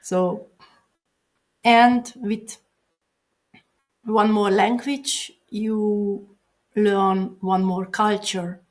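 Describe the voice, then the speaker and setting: Slow-speaking woman. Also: mid-range voice, steady tone, low-quality recording. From Hungary. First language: Hungarian. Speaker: guest. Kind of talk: casual interview chat.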